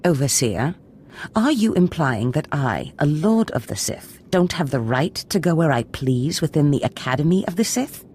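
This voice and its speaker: A woman's voice: deep voice, sing-song, moderate pace, darkish tone, slight background noise. An actress voicing a scheming female Sith lord who speaks in a languid, commanding way. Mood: imperious. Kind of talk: game voice acting.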